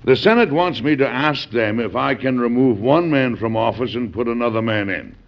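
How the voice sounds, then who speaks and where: An actor playing a stubborn, combative president in an old-time radio drama, speaking in an emphatic, oratorical way. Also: male voice, medium pitch, normal speed, lightly acted. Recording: dull, lo-fi vintage radio recording.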